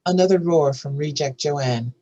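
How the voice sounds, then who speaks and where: A woman with a husky voice narrating screenplay stage directions in a scripted reading. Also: deep voice, moderate pace, warm tone, muffled recording.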